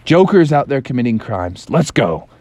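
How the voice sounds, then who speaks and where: A man voicing a gruff, impatient grown male superhero in a bedtime story, low and clipped. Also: sing-song, normal speed, a little mellow. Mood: gruff, hurried.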